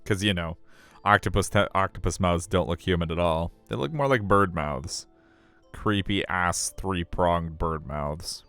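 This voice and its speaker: Deep, smooth-voiced man reading a story. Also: a little slow, a bit bright, soft background music. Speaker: streamer narrating a visual novel. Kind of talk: live read-aloud narration mixed with casual chat.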